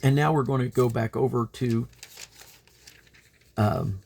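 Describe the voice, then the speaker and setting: A man reading the Bible aloud in a creaky voice, delivered deliberately, phrase by phrase, with pauses. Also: mid-range voice, steady tone, darkish tone, slight background noise. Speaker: Bible reader. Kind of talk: scripture reading aloud from the King James Bible.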